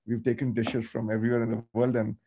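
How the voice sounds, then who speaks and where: A man, a podcast guest giving thoughtful, measured answers in an interview. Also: mid-range voice, flat delivery, warm tone, slightly rough, dull audio. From India.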